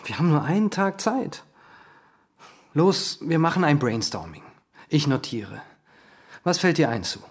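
A woman playing a brisk, methodical investigative journalist in a radio drama, businesslike and speaking in clipped phrases. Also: sits low, animated, slightly rough, exaggerated voice.

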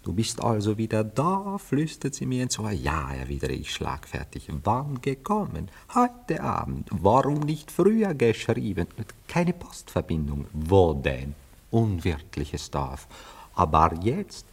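A flippant, sentimental bachelor of old Vienna in a radio play. His voice is very low and husky with breath, and it swoops theatrically from murmur to exclamation with a melancholy streak. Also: wildly swooping pitch, a little slow, exaggerated voice. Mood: rapturous, wistful. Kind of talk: radio drama acting.

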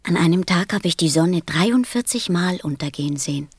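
A woman's voice: on the low side, animated, normal speed, breathy edge, no echo. A woman playing a sensitive, earnest little boy prince in a radio play, speaking with insistence. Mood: wistful. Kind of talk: German radio play acting.

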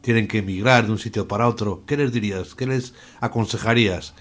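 Male, low voice, radio interviewer, informal questioning. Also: brisk, fairly bright tone.

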